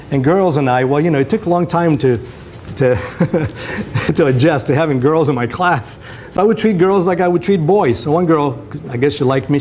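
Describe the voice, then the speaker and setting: A man's voice: fairly high pitch, a little mellow. A middle-aged man from Greece, a native Greek speaker, gives an informal, conversational motivational talk to students as a visiting photographer. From Greece, moved to Canada at twelve. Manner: conversational, anecdotal, addresses listeners with tag questions. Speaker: photographer, career day speaker. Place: school classroom, indoors. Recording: noisy room with background hiss.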